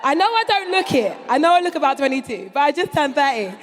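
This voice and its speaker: A woman's voice: sits high, sing-song, fast. A woman in her thirties with a London accent doing stand-up, brash and boastful, full of crude slang. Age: 30s. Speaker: stand-up comedian. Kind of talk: stand-up routine. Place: large concert hall before an audience. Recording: live hall recording with long reverb.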